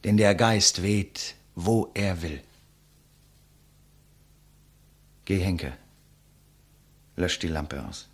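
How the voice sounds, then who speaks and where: A doomed idealist in an old radio drama, deep husky voice, solemn and rhetorical. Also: male voice, full character voice. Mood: resigned. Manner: solemn, rhetorical, impassioned declamation. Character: condemned man, idealistic intellectual, weary and resigned facing his executioner. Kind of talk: German radio play acting, 1950s.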